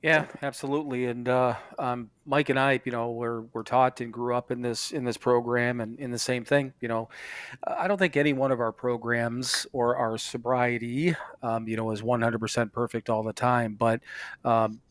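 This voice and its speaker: A man co-hosting a podcast, speaking casually and affirmingly in halting phrases. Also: medium pitch, fairly even intonation, dry recording. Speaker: podcast co-host.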